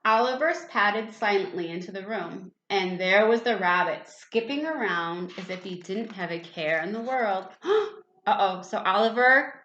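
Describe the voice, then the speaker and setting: A woman's voice: mid-range voice, a little slow, a little hiss or hum. Woman reading a storybook in an echoey room. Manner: expressive, with questions and exclamations for children. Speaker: teacher reading a picture book aloud. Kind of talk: storybook read-aloud with asides to young listeners. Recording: echoey room, slightly dull sound.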